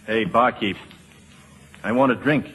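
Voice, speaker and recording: An actor playing a tough, world-weary American drifter, brusque and sardonic, in 1940s radio drama style. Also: male voice, mid-range voice, measured pace, a bit bright, a little hiss or hum, lightly acted. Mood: brusque, impatient. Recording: reverberant old radio drama, music behind.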